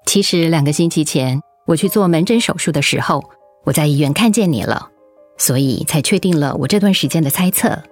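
An actress playing a caring, gently earnest cancer survivor advising a colleague in a radio drama. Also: female voice, sits low, fairly quick, soft background music. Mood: gentle, encouraging. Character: caring older female colleague who survived breast cancer.

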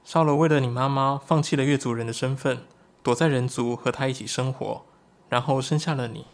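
A man performs an earnest, excitable young man of a shapeshifting tribe in an audio drama. His voice sits in a middle register. He explains things eagerly and expressively. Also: mid-range voice, a little fast, lightly acted. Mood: solemn storytelling. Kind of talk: Chinese audio drama acting.

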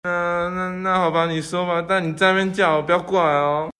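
A man's voice: high-pitched, little pitch movement, fairly quick, sharp tone, smooth, pitched above the performer's own voice. A teenage boy playing a frightened, agitated young man haunted in a dream, in a radio drama. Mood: nervous, wary. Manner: agitated, protesting.

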